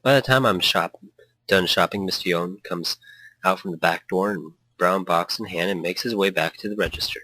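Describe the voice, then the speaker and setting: A man's voice: sits low, normal speed, pushed and bright, no room sound, low-quality recording. A man reading a story aloud and chatting casually as a let's player, prone to rambling. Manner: hyped, tangent-prone. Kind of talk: narration mixed with casual rambling commentary.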